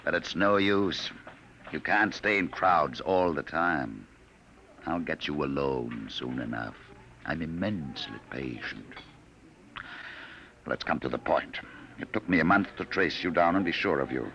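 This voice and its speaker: An actor in a 1950 radio drama playing a cold, patient, menacing male agent from the future who talks smoothly and quietly threatens. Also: very deep voice, lively intonation, unhurried, a little hiss or hum, heavily acted. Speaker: Sims, time-travel enforcer.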